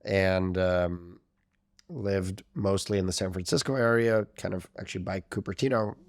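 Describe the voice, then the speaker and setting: A man in his thirties with a laid-back Northern Californian accent, chatting loosely as an interview guest. His voice sits low, with a gravelly fry, and he fills his speech with "like" and "um." The sound is dry and close to the mic. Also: normal speed, no room sound. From Russia, raised in California. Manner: relaxed, rambling, heavy use of "like" and "um", laughs often. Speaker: podcast guest. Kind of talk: casual interview chat.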